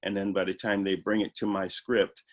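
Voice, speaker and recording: A man with a fairly low voice talks about his film project in an interview. He explains eagerly and earnestly, often stopping for "you know" and "uh". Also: little pitch movement, normal speed. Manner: earnest, enthusiastic explaining with many fillers. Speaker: filmmaker guest. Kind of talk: interview answers.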